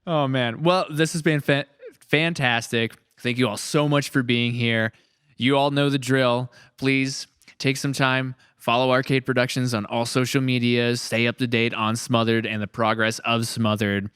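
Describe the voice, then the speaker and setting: A young man hosting a casual podcast chat, jokey and quick to laugh, often restarting his sentences. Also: medium pitch, level tone, a bit bright, clear, resonant tone, no echo, clean studio sound. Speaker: podcast host and series creator.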